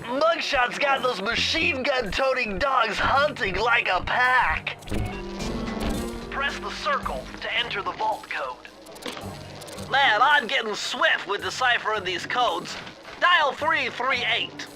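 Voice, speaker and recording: A man voicing a nerdy, jittery, brainy sidekick in a cartoon video game, explaining things in a bookish, excitable, fussy way. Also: extremely high pitch, takes it slowly, music in the background, full character voice, poor audio. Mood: eager, urgent.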